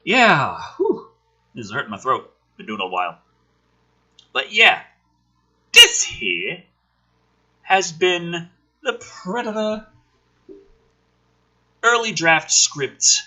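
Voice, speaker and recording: A husky-voiced man reading a script aloud dramatically, with exasperated, sweary commentary as host. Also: on the high side, wildly swooping pitch, slow pace, pauses often, sharp tone. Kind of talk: animated script read-aloud with profane commentary.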